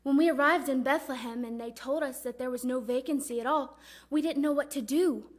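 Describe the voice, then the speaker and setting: A woman performing a dramatic religious monologue as a grieving, devout mother recalling her son, speaking earnestly and deliberately. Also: high voice. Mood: fond reminiscence. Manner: earnest, reverent, deliberate storytelling.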